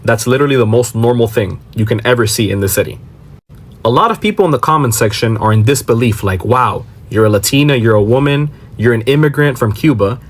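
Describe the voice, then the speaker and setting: A man's voice: mid-range voice, a little fast. American man, emphatic explainer. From Miami, Florida. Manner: emphatic, poses rhetorical questions and answers them. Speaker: commentator on a social media reaction video. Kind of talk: explanatory political commentary to camera.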